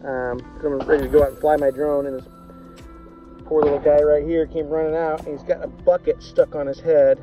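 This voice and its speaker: A man's voice: on the high side, level tone, a little fast. A middle-aged man chatting informally outdoors as he films a vlog on a phone, with soothing asides to animals. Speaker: sailing vlogger. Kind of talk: casual vlog chat, talking to animals. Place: outdoors on a rocky, scrubby island shore. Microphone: phone camera, at arm's length or propped a few feet away. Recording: dull phone audio under loud music. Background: loud music.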